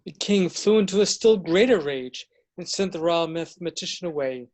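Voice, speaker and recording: Highish-voiced man narrating a story. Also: expressive, moderate pace, a little mellow.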